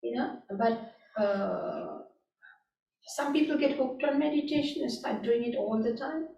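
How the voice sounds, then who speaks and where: Woman from sri lanka, meditation teacher, mellow gentle voice, heard from across a very echoey room. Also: fairly high pitch, creaky. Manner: gentle, unhurried, with frequent "you know" and "right?". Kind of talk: answering audience questions at a workshop. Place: community meeting room. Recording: echoey room, picked up from a distance.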